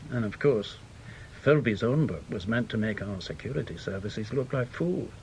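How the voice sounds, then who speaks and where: A man's voice: on the low side, lively intonation, moderate pace, darkish tone, slight background noise. An actor playing a bitter, blunt veteran British intelligence man in a radio drama, with an emphatic, scornful manner. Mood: grave, emphatic.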